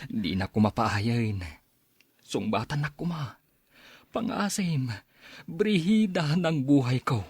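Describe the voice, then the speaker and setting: An actor playing a lovesick older suitor in a radio drama, declaiming sentimentally and haltingly with an Ilocano accent. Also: male voice, fairly high pitch, expressive, a little mellow, husky, dry recording, studio quality, exaggerated voice. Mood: romantic.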